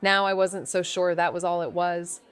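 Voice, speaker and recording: An actress playing a wry, watchful young amateur sleuth in a noir audio drama, dry and understated. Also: female voice, fairly low pitch, little pitch movement, moderate pace, clean tone, close to an everyday voice. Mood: pensive. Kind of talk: noir audio drama dialogue and first-person narration.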